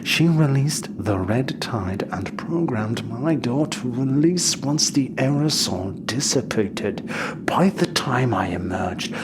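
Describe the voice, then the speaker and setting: Behind heavy background noise, a deranged clone cultist talks very slowly. He is solemn and devout like a preacher, with quiet menace, in a sci-fi audio drama. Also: mid-range voice, expressive. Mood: ominous, devout.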